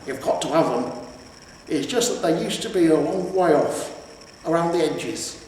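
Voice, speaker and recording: A man's voice: fairly high pitch, moderate pace, a little mellow, pitched above the performer's own voice, close to an everyday voice. A man voicing an aging, world-weary barbarian hero in a storybook, with a wistful, hesitant, plain-spoken manner. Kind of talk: storybook character reading. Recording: echoey reverberant room, distant, faint hiss.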